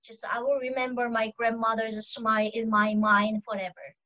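A young woman with a Korean accent, speaking English as a second language, talking as a guest in an online conversation and often pausing to search for words. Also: fairly high pitch, flat delivery, bright, forceful tone, highs cut off. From North Korea. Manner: halting, searching for English words, many pauses. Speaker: guest, defector.

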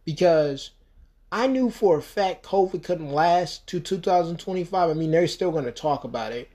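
A man's voice: fairly high pitch, animated, normal speed, a little mellow. A man in his thirties from the United States, talking alone on his podcast in a rambling, emphatic way, full of "you know" fillers. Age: 30s. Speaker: podcast host. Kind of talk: solo podcast monologue.

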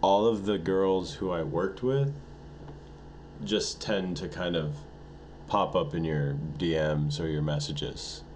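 Deep, laid-back man in his twenties. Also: slow, a little mellow, a little hiss or hum. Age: 20s. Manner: laid-back, playful, laughs a lot. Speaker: podcast co-host. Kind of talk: casual chat.

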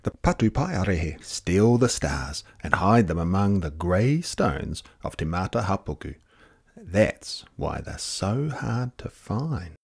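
Man as fretful old grandmother, storybook character, hushed asides, very animated, husky. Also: low voice, takes it slowly, heavily acted. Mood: confiding. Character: excitable, fretful old grandmother who tells star tales.

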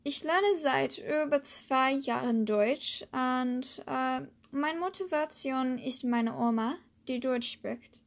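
A woman from the United States, a native English speaker, hosting a podcast and slowly reading a lesson in German with hesitant fillers. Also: sits high, gravelly. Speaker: podcast host, German learner. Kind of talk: scripted language lesson podcast.

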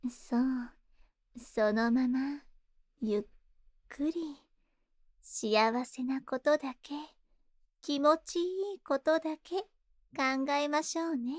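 A woman's voice: sits high, extremely slow, pauses often, no room sound, studio quality. A woman playing a seductive, possessive spider monster in a Japanese ASMR voice drama, tender and coaxing, lingering on her words. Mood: soothing, hypnotic. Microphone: close ASMR microphone in a dry studio.

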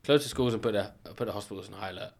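A man in his thirties talks as a podcast guest. He is chatty and animated, racing through stories with asides. His voice sits a little low. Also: very fast. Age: 30s. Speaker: business owner guest. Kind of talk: casual podcast chat.

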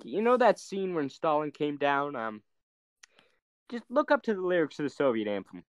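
Young male podcast co-host, slightly high voice, crude teasing banter, dry close sound. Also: very animated, normal speed, dry recording, lo-fi sound.

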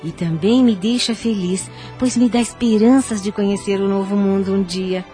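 An actress playing a tender, pious, ailing mother in a Brazilian radio soap, speaking gently and affectionately with a Brazilian accent. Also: mid-range voice, steady tone, normal speed, faint music behind, close to an everyday voice. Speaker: radio drama actor.

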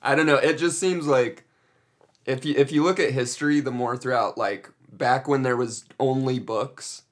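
A man's voice: mid-range voice, moderate pace. A young man co-hosting a casual podcast, joking and skeptical, swearing casually. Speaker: podcast co-host.

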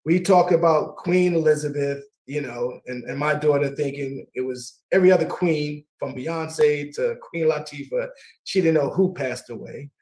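A middle-aged man hosting a casual talk radio show, warm and laughing easily, often repeating his words. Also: on the high side, moderate pace, low-quality recording.

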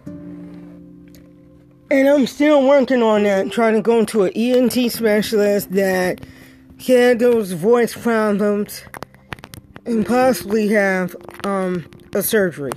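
A middle-aged woman, a life coach, gives a motivational pep talk in a raspy, breathy voice with a dry throat, pressing her points by repeating key words. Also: medium pitch, slow pace, pauses often, darkish tone, soft background music. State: dry throat after a stroke.

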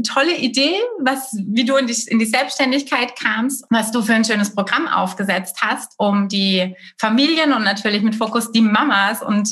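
Enthusiastic female interviewer with a slightly high voice, chatty. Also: a bit bright. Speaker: host. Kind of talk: interview hosting.

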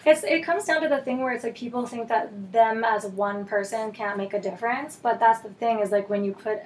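A woman chatting casually in a podcast conversation, emphatic and quick to laugh. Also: on the high side, darkish tone, a little hiss or hum.

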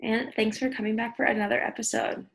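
A woman co-hosting a podcast on a video call, speaking in a sleepy, slightly raspy voice and explaining astrology in casual chat with lots of fillers. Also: fairly high pitch, flat delivery, fast. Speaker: podcast co-host.

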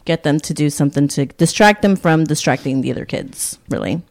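A woman co-hosting a podcast in casual chat, a native Spanish speaker, animated and often laughing. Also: deep voice, a little fast. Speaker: podcast co-host.

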